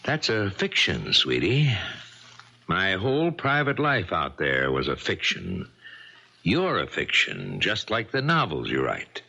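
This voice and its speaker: An actor in a 1970s radio drama playing a scheming bigamist husband, hard, needling and insincere. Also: male voice, sits low, huge pitch swings, takes it slowly, vocal fry, pushed and bright, slightly rough, heavily acted. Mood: menacing. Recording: muffled vintage radio broadcast.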